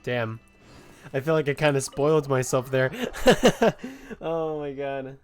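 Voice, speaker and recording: A man's voice: mid-range voice, fairly even intonation, slow pace, clear, resonant tone, faint music behind. A man giving casual gameplay commentary as he plays. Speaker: gamer.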